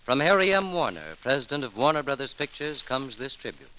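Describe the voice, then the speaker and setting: A man's voice: mid-range voice, big pitch swings, fairly quick, bright, forceful tone. A man announcing a scripted radio tribute in the 1930s manner, formal, solemn and declamatory. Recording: noisy 1930s radio broadcast recording.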